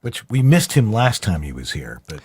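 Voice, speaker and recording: A man co-hosting a talk show with a husky voice, chatting in a loose, rambling way. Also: on the low side, big pitch swings, moderate pace, darkish tone. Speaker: co-host. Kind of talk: casual show chat.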